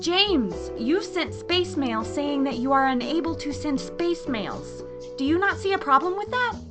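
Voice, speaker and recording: A woman acting a sassy spacefarer with a highish, very lively voice. She is sharp-witted and teasing in a comic space serial, with music clearly behind her. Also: measured pace. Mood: exasperated. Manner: teasing, expressive. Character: sassy, sharp-witted female starship crewmate.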